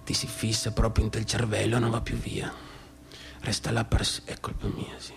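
An actor playing a disturbed provincial young man in a radio theatre monologue, with a northeastern Italian accent and a halting, repetitive, colloquial way of talking. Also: on the low side, monotone, vocal fry, a bit bright. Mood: anxious, obsessive. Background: music.

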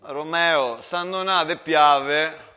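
A man's voice: fairly high pitch, very slow, bright, forceful tone, clear, resonant tone, pitched above the performer's own voice, heavily acted. A man playing a blunt, boisterous peasant soldier with a Venetan accent in a theatre monologue. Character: loud, gruff, teasing peasant soldier from the Veneto. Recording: reverberant hall with music.

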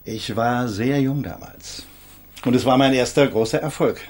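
A man acting an urbane, self-assured senior star lawyer in a radio crime drama, husky, courteous and polished. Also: medium pitch, normal speed, a little mellow, natural voice. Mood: suave, self-assured.

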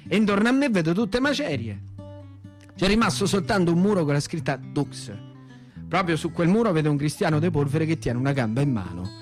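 Male actor as simple country boy, central Italian accent, high pitch, very animated, theatrical radio monologue, wide-eyed, repetitive, light music behind. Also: a little fast, natural voice. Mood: frantic. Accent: central Italian, Lazio. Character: naive, lame Italian country youth recalling wartime.

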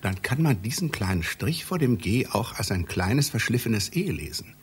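An actor in a radio play playing an obsessive literary scholar, pedantic, insistent and excitable, with a rough, husky voice. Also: male voice, lowish voice, moderate pace, slight background noise. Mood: insistent, intrigued. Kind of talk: German radio play acting.

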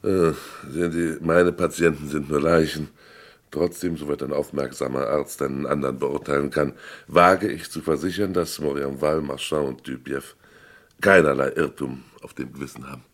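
Very deep-voiced, wry forensic doctor. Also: male voice, steady tone, moderate pace. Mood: dry, ironic. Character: wry, self-assured male forensic doctor. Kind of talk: German radio crime drama acting.

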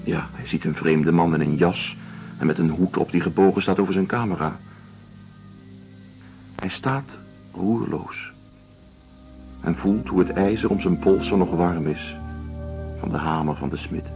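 A male actor in a radio drama playing a weary, detached doctor and writer, speaking in a measured, deliberate way. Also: deep voice, soft background music. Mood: grave, observant. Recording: dull lo-fi radio play recording.

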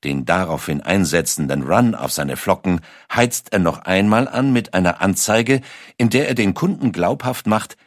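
A man's voice: low voice, expressive, moderate pace, dry recording, studio quality. A man reading scripted documentary narration as a narrator.

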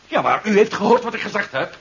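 An actor playing a frightened, hesitant doctor protesting under threat in a seventies radio drama. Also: male voice, high-pitched, big pitch swings, quick pace, a bit bright, husky, exaggerated voice. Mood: nervous. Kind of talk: 1970s Dutch radio drama acting. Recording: very noisy, muffled old radio recording.

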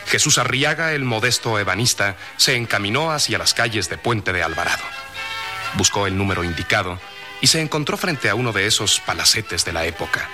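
A man narrating a radio serial in a solemn, declamatory, dramatic style. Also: on the low side. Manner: solemn, declamatory, measured phrasing.

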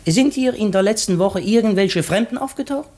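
An actor playing a vain, shrewd little master detective in 1950s radio drama style, speaking in a pompous, cryptic, lecturing manner. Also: male voice, sits high, normal speed, darkish tone. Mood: probing, intrigued. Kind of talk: 1950s German radio play acting.

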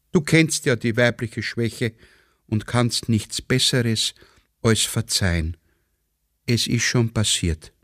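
A man voicing a naive village wife in a radio play, haltingly reading out a letter in simple, pleading tones. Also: mid-range voice, dry recording, studio quality, lightly acted.

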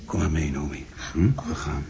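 A man's voice: a bass voice, normal speed, vocal fry, warm tone, slight background noise. An actor playing an agitated husband in a Dutch radio play, indignant and questioning, then soothing to his wife. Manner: questioning, insistent.

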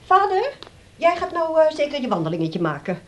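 High-voiced fussy housewife. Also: female voice, very animated, brisk, a little hiss or hum. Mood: brisk, nagging. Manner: hesitant, nagging, managing. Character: fussy, bossy housewife, daughter of old man. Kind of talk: radio play acting.

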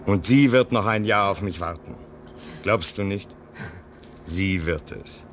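A man's voice: low voice, measured pace, fairly bright tone, slight background noise. A man acting an earnest, comforting, self-denying outpost dweller in a German radio play. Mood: gentle, resolute.

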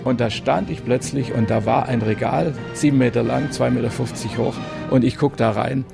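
A man's voice: medium pitch, steady tone, normal speed, gravelly. A man, a music journalist, telling his story in an interview in an enthusiastic, emphatic way. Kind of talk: anecdotal storytelling.

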